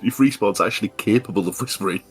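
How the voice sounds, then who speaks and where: Low, husky man joking. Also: fast, faint music behind. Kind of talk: casual podcast banter.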